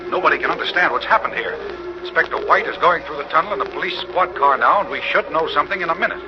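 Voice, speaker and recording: Actor as rapid-fire radio news reporter, male. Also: fairly high pitch, animated, natural voice. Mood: concerned. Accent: American. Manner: projected, formal announcing. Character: earnest, excitable male on-the-scene radio reporter. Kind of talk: 1940s radio drama, live news commentary. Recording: muffled old radio, faint noise.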